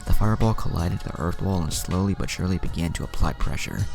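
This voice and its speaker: A man's voice: sits low, fast talker, creaky, music in the background. A man narrating an anime fan story, reading out both the action and the dialogue.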